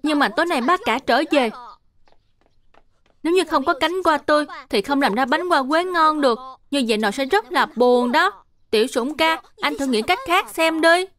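A woman's voice: high-pitched, animated, normal speed, fairly bright tone. A woman voicing a sweet, earnest little boy in a dubbed cartoon, polite and pleading.

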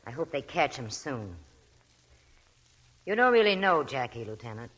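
A man's voice: medium pitch, very animated, normal speed, a bit bright. An actor playing a worldly, fatherly nightclub owner in a 1940s radio drama. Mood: knowing.

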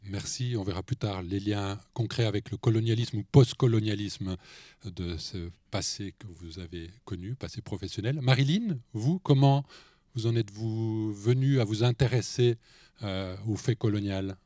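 A man's voice: on the low side, normal speed, mellow, dry recording, studio quality. An older man moderating a festival round table with brief, formal introductions. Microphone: handheld mic.

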